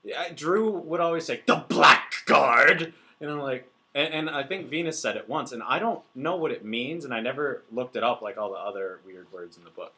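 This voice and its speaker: A young man co-hosting a casual book podcast, playful and quick to laugh at his own jokes. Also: mid-range voice, lively intonation, pushed and bright. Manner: playful, laughs often, quick joking asides. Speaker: podcast co-host. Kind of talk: casual chat.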